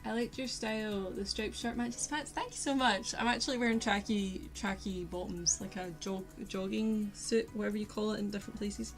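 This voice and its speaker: A woman's voice: medium pitch, fairly even intonation, normal speed, few pauses. A young woman with a posh Glaswegian Scottish accent, chatting casually with her live-stream viewers while she paints, rambling and poking fun at herself. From Scotland. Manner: chatty, self-mocking, rambling asides to chat. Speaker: art streamer. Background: faint lo-fi music.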